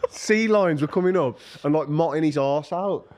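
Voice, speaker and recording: A man's voice: fairly high pitch, a little slow, darkish tone. A young man, a podcast co-host who laughs through his stories and insists on them. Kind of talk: casual banter among friends.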